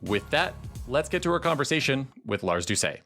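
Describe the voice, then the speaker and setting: A man hosting a policy podcast, reading a measured, earnest introduction and then interviewing a guest. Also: mid-range voice, very animated, a bit bright, soft background music. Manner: measured, clear and earnest delivery. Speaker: podcast host.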